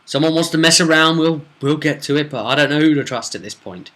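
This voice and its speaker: A man's voice: a little high, quick pace, pushed and bright, pitched above the performer's own voice. A man voicing distrustful, brusque adventurers in a tabletop fantasy game. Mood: suspicious. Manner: blunt, distrustful.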